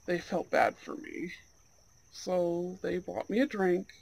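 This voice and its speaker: Male actor as young Goth blind date: higher register, slow delivery, sincere, hesitant, intimate ASMR roleplay. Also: vocal fry, full character voice, low-quality recording. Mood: candid, reflective. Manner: soft-hearted, hesitant, sincere.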